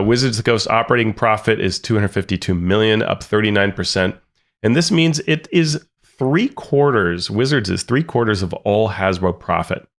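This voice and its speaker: A man with a fairly low voice talks through a podcast news segment. He reads off figures in a relaxed way and drops in dry, wry remarks between the numbers. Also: gravelly. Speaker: podcast co-host. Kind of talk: casual news discussion.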